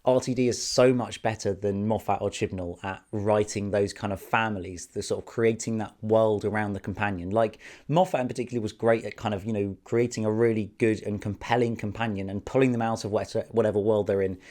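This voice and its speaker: Man, hoarse from a cold, slightly low voice, eager chatty review. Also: steady tone, brisk, hardly any pauses, a little mellow. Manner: enthusiastic, chatty, many fillers. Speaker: video reviewer. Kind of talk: casual spoken review.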